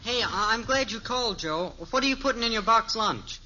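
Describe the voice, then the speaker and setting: A man's voice: sits high, lively intonation, brisk, pushed and bright, exaggerated voice. An actor playing a guileless, food-loving American teenage boy, easygoing and boyish, in the style of a 1950s radio sitcom. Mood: casual, eager. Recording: very noisy, muffled old radio recording.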